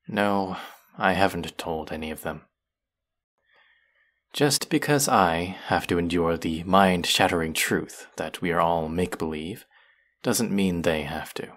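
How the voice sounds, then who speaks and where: A man's voice: low voice, a bit bright, exaggerated voice. A man voicing a smug, taunting young fantasy villain who menaces his captive in a theatrical roleplay audio monologue. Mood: teasing, controlling.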